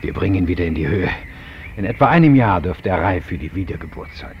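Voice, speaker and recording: A very low voiced hearty doctor in old radio drama, muffled and hissy. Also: male voice, animated, fast. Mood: confident. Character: confident, hearty male clinician of the future. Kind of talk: German science-fiction radio play acting. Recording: dull, noisy 1970s radio recording.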